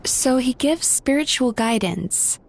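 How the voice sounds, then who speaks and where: Slow, earnest analytical lady. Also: high-pitched, expressive, gravelly, exaggerated voice. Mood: concerned, pensive. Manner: measured, serious. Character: earnest, thoughtful woman, measured and analytical. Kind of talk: anime video game voice acting.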